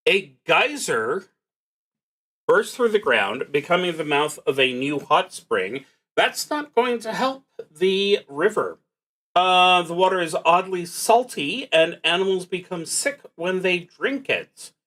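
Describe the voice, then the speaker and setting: A man livestreaming a solo roleplaying game, reading prompts and thinking aloud in a halting, dryly wry way. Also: sits high, big pitch swings, fairly bright tone, rough recording.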